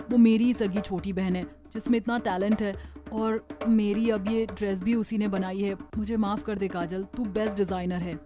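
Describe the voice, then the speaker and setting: A woman's voice: medium pitch, close to an everyday voice. A woman voicing a dramatic young bride and sister in a cartoon family melodrama.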